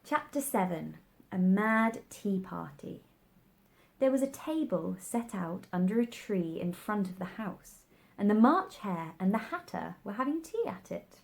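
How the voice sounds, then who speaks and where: A woman narrates a children's classic as a storybook reader. She takes her time over each phrase and moves her pitch around in a lively, expressive way. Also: unhurried, vocal fry.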